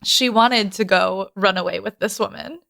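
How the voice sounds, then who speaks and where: A woman's voice: mid-range voice, moderate pace, gravelly, pushed and bright, no room sound, clean studio sound. A woman hosting a podcast, chatting casually about film in an informal, emphatic way, often repeating words for emphasis. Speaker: podcast host. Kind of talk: casual film discussion chat.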